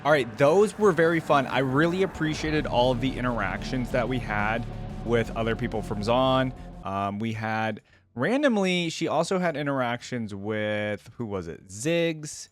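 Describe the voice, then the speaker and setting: A man's voice: mid-range voice, lively intonation, normal speed, faint music behind. A young man giving casual reaction commentary as a video host, thinking aloud in rambling, loose asides.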